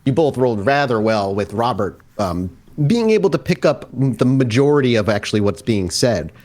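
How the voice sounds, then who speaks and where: A man narrating a tabletop roleplaying game as storyteller, describing scenes off the cuff with pauses. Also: mid-range voice, lively intonation, a little mellow.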